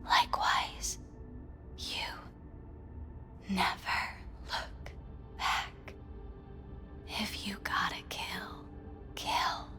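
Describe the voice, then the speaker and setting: An actress playing a calm, enigmatic woman counsellor in a video game, speaking in a breathy, soothing, measured way. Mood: hushed, intense. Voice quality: breathy, whispery. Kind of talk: cinematic video game acting. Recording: music playing behind the voice. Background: music.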